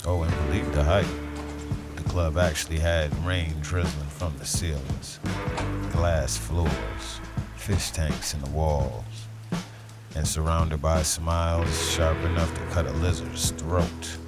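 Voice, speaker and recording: A man playing a brooding, street-smart detective who narrates a noir audio drama in measured, terse, dramatic lines over music. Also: very deep voice, level tone. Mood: brooding, knowing.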